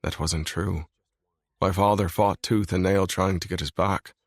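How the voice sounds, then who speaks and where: A man voicing a brooding, controlled mafia boss in a scripted audiobook reading, low and grave. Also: steady tone, unhurried, no room sound, studio quality. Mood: grim. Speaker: male lead voice in audiobook.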